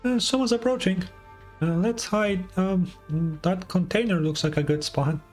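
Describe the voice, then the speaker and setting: A man voicing a small, excitable female fairy companion in a video game, whiny, stammering and easily flustered. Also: high voice, normal speed, faint music behind, close to an everyday voice. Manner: flustered, stammering, refers to self by name.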